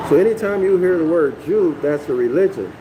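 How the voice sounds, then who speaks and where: A man from North America reads a text aloud and lectures on it to a live audience, his voice sitting a little high. He keeps checking in with listeners and restarts phrases as he explains. Steady background noise with passing traffic sits under his voice. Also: a little fast. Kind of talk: live-streamed lecture, reading aloud and commenting.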